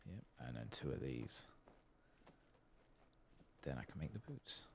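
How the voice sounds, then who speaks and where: A man giving soft-spoken, sometimes whispered ASMR commentary on a game, murmuring to himself and reading text aloud. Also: rumbling low, big pitch swings, measured pace, vocal fry, slight background noise. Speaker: ASMR gaming channel host.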